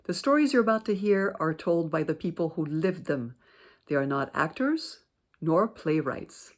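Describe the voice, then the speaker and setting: A woman introducing a program as its director, in a calm, welcoming, scripted way. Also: deep voice, moderate pace. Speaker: director and producer.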